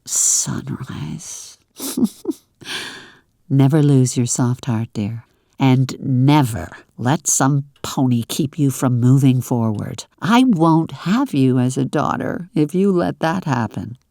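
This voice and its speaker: A woman playing a loving, proud and resolute pony mother in an audio drama, speaking slowly and deliberately. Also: rumbling low, vocal fry, warm tone, no room sound, studio quality, full character voice. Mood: firm encouragement.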